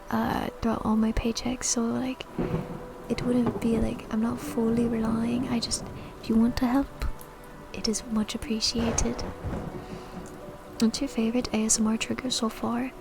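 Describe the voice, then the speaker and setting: A woman streamer whispering soft, giggly ASMR chat to her viewers into a sensitive mic. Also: fairly high pitch, little pitch movement, moderate pace, gravelly, over music. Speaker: VTuber streamer.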